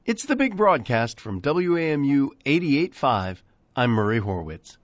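A man's voice: mid-range voice, sing-song, measured pace, no echo. An American man hosting a radio show, reading a scripted introduction to old-time programs.

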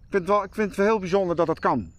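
A man's voice: high-pitched, rapid-fire. A middle-aged man chatting casually as a podcast co-host, reflective and circling back to his point. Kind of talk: casual chat.

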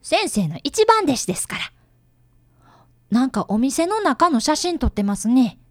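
A woman's voice: high voice, sing-song, moderate pace, no echo, clean studio sound. A woman playing an earnest, polite fifth-grade boy, an apprentice detective in a radio drama. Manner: polite, precise, boyish. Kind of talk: radio drama dialogue and first-person narration.

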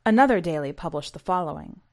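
A woman's voice: fairly low pitch, expressive, fast talker, darkish tone. A woman hosting a fiction podcast, speaking in a scripted, read-aloud presenting and narrating style. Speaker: podcast host and story reader.

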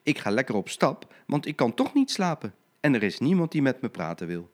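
A man voicing an excitable little female tram in a storybook, calling out in high, bright exclamations. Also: brisk, close to an everyday voice. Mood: cheerful.

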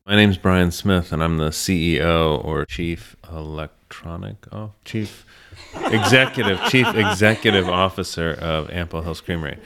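A man's voice: deep voice, moderate pace, fairly bright tone. A man being interviewed as a business owner, telling his story casually with wry, self-deprecating humour.

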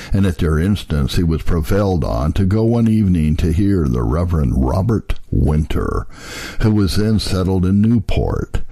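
A man reading old historical texts aloud as a narrator, in a solemn, measured, formal way. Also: sits low, gravelly, mellow, slightly rough, dry recording.

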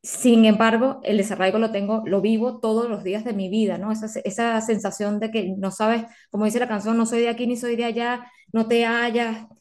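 A woman's voice: on the high side, level tone, a little fast, hardly any pauses, a little mellow. A young adult woman interviewing an author, speaking in a heartfelt, emphatic way. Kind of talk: interview question.

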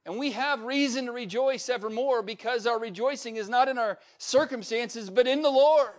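A male pastor preaching a sermon, building up to a repeated refrain and swinging between loud peaks and quiet, low asides. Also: normal speed. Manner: emphatic preacher with swings in pitch and loudness, repetitive refrain.

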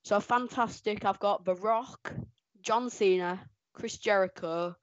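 A woman co-hosting a casual podcast, excitable and quick to laugh. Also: mid-range voice, dry recording, muffled recording. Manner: excitable, laughs often, animated outbursts. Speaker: podcast co-host. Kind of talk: casual chat ranking wrestlers.

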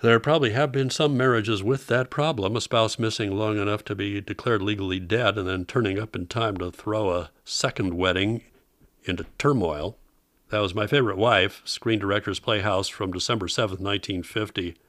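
A man's voice: fairly low pitch, steady tone, normal speed, a bit bright, no echo. An American man narrating as host of an old-time radio program, in an informative presenting style. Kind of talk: informative narration introducing vintage radio shows.

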